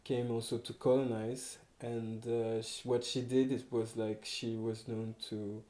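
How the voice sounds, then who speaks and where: A man's voice: medium pitch, little pitch movement, measured pace, mellow. A young man from Paris with a French accent, speaking casually as a textile artist guest, with many hesitations, restarts and laughs. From France, Paris. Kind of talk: casual conversation. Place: small farmhouse room, indoors. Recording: echoey room.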